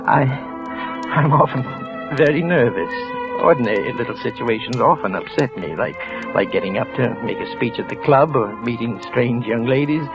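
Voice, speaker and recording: An actor playing a nervous, trembling office clerk who narrates in a hushed, tense voice, often sinking to a whisper, in a 1940s radio drama. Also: male voice, medium pitch, expressive, moderate pace, lo-fi sound, close to an everyday voice. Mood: tense, brooding. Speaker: narrator and lead character. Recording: old radio broadcast with music.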